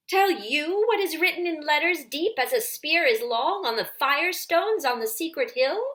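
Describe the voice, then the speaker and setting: A woman voicing a cold, menacing witch queen in a children's story, imperious and forceful, rising toward shrillness. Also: high-pitched, pitched above the performer's own voice, exaggerated voice.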